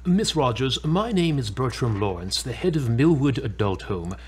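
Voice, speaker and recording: A man acting as a care home director in an audio drama. His mid-pitched voice moves around a good deal, and he is formal, halting and apologetic. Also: very animated, breathy edge. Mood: somber, awkward.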